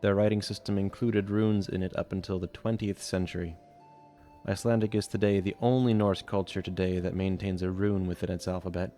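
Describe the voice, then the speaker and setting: A man giving scripted educational narration in a slow, measured and deliberate reading. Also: low-pitched, fairly even intonation, dark tone. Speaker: video narrator. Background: faint background music.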